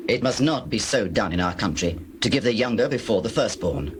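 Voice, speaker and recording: A man acting as a shrewd older patriarch in a scripture audio drama. His pitch moves in lively swings while his phrasing stays measured and formal. Birdsong and cooing doves sit clearly behind him. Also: background noise, natural voice. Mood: firm, justifying. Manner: measured, formal, archaic diction. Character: Laban, shrewd older patriarch and father of two daughters.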